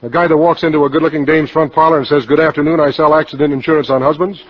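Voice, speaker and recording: A man's voice: a little high, fairly even intonation, racing, natural voice. An actor playing a cynical, wisecracking insurance salesman in a 1950s noir radio drama, with terse, slangy delivery. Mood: sardonic, needling. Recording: dull, lo-fi 1950 radio broadcast.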